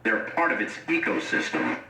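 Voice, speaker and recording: A man's voice: on the low side, huge pitch swings, moderate pace, sharp tone, heavily acted. A man playing a grave scientific adviser, explaining formally in a horror audio drama. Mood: grave, ominous. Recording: echoey, distant, noisy radio-like sound.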